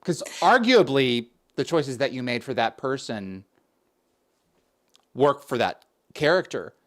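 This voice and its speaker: A man's voice: medium pitch, lively intonation, takes it slowly. A man hosting an interview, earnest and expansive, asking long winding questions. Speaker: interview host.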